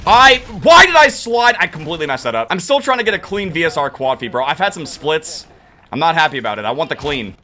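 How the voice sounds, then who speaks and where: A young man commentating his own online shooter gameplay, excitable and quick to rage, his voice getting worn from yelling. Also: on the high side. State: losing his voice. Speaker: gaming youtuber.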